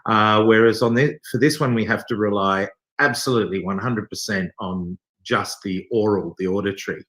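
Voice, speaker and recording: A man's voice: on the low side, steady tone, normal speed, fairly bright tone. An Australian man speaking as an interview guest from a theatre company, explaining things conversationally with hesitant asides. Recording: echoey room sound.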